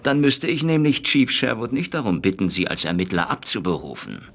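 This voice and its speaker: An actor playing a patronizing police superior, falsely concerned, with a gravelly, husky voice in a German radio drama. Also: male voice, fairly low pitch, very animated, fairly quick, fairly bright tone, exaggerated voice. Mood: condescending, veiled threat. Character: smooth-talking, condescending male police superior.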